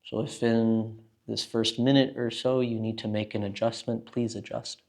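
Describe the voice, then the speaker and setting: Low, even-voiced man guiding meditation. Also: creaky. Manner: calm, gentle, unhurried with long pauses. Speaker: meditation teacher. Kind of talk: guided meditation.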